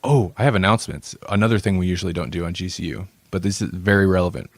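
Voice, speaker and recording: A man's voice: deep voice, fast, no echo. A male podcast host in casual banter, playful and rambling, laughing often.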